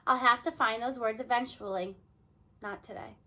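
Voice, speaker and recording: Older male teacher, embittered and emphatic, read by a woman, high bright voice, storybook. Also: moderate pace. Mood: dejected. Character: older male teacher, weary, sardonic, stunned by firing.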